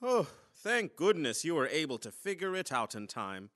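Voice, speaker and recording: An actor playing a flustered, two-faced, scheming boss in a radio comedy, exclaiming theatrically. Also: male voice, a little high, big pitch swings, normal speed, a bit bright. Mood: anxious, feigned politeness. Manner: theatrical, exclaiming, stammering cover-ups.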